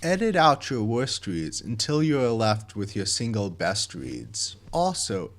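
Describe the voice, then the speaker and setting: A man with a fairly low voice delivers scripted instructional narration. He goes through each step methodically, with measured, plain wording, as someone directing a project would.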